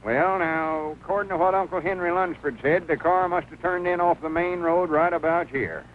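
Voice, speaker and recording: A man's voice: a little high, moderate pace, lightly acted. A man acts out an earnest small-town storekeeper with a rural Southern American accent, folksy and full of opinions. The sound is a tinny, faintly noisy soundtrack from an old comedy film. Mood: folksy, deliberate. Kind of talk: 1940s film comedy-drama acting.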